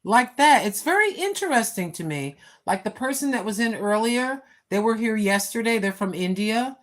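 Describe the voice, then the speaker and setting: A woman's voice: fairly high pitch, animated, moderate pace. A woman in her sixties chatting casually on a live stream from home, rambling with many fillers and talking straight to her viewers. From United States. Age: 60s. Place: home, seated. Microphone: phone.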